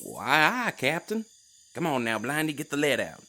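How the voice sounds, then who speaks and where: Small frog-like druid, very animated pitch, folksy, excitable, audio drama. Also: male voice, moderate pace, exaggerated voice. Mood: eager, folksy.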